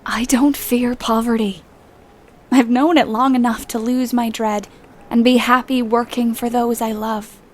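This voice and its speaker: A heartfelt young heroine of a period audio play, acted by a woman. Her voice sits fairly high. She is earnest and warm, with a stubborn streak. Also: little pitch movement, unhurried. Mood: tender. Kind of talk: audio drama acting.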